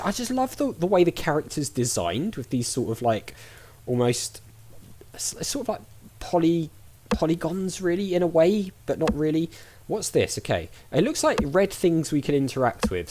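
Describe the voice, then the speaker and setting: Lively, chatty man commentating on a game. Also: on the high side, a little hiss or hum. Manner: chatty, thinking aloud. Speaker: let's play host.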